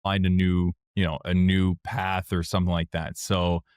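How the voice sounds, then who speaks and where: A deep-voiced man, a French speaker by birth, talks openly about his own past in a casual interview. His voice sits low and sounds close and dry, with no room around it. He speaks frankly and works his thoughts out as he goes. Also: flat delivery, normal speed, darkish tone, smooth, no room sound. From Canada (Montreal). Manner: candid, plainspoken, thinks aloud as he talks. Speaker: guest, software founder.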